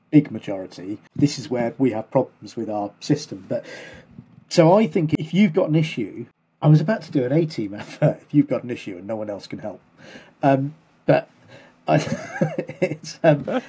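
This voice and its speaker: Chatty man, husky mellow voice. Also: mid-range voice, lively intonation, moderate pace. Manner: rambling, self-correcting, chatty explainer. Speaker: podcast co-host. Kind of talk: casual chat.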